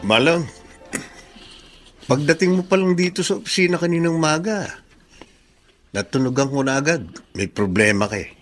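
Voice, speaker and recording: A man's voice: fairly high pitch, lively intonation, moderate pace, pauses often, lightly acted. A man playing a frank, opinionated office friend in a Filipino radio drama. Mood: probing.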